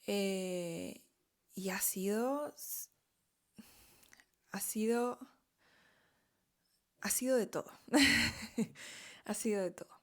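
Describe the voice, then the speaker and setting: A woman's voice: mid-range voice, drawn-out words, pauses often, a bit bright. A middle-aged woman from Chile with a Chilean Spanish accent, telling her own story in a candid, chatty monologue, often checking in with "¿ok?".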